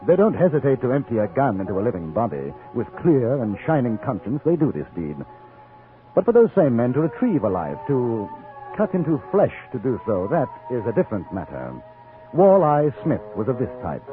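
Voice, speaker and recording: An actor playing a composed, commanding British gentleman in a 1950s radio western, speaking in a formal, dry, courteous way. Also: medium pitch, wildly swooping pitch, fairly quick. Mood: measured, reflective. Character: composed, commanding English gentleman adventurer in the Old West. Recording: muffled 1950s radio recording, music behind.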